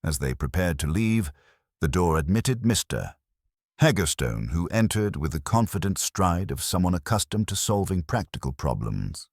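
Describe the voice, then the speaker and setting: Very deep-voiced male narrator. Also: very animated, dry recording, clean studio sound. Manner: measured, literary, formal. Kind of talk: scripted detective audiobook narration.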